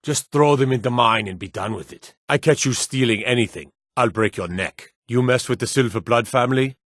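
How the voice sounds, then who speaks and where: An actor playing a stern, menacing fantasy city guard in a video game, gruff and authoritative, with a weary, corruptible streak. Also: male voice, medium pitch, a little fast, a bit bright, no echo, studio quality, natural voice. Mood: gruff, taunting. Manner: gruff, authoritative, clipped threats. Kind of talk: scripted fantasy game voice lines.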